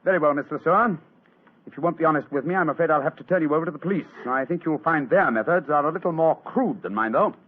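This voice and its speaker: An actor playing a shrewd, courteous British gentleman detective in a polished, theatrical old radio drama style. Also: fairly high pitch, quick pace, lightly acted. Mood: stern. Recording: muffled, lo-fi vintage radio sound.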